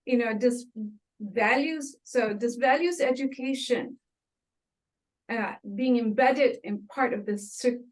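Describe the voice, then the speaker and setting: A woman's voice: fairly high pitch, rough recording. A woman moderating a webinar, presenting audience questions in an organized, conversational way.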